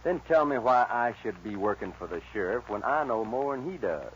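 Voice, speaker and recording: An actor playing a cocky young American outlaw gunfighter, laconic and folksy, in a 1940s radio western. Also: male voice, mid-range voice, animated, fairly quick, close to an everyday voice. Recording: dull, hissy old radio recording.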